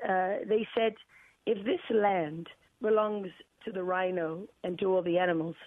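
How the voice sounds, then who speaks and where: A middle-aged woman from South Africa, a journalist speaking as a guest in an interview, talking in a deliberate, emphatic way with many fillers and repeated words. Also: medium pitch, no echo. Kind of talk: phone-in interview. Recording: tinny dry phone line.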